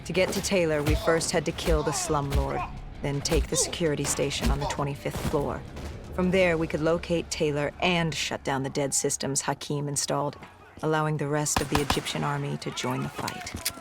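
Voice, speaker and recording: A woman's voice: low voice, steady tone, moderate pace, gravelly, over loud music. A woman playing a defiant, battle-weary soldier in a video game, grimly and bluntly narrating a mission. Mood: grim recounting. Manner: blunt, bitter, grim.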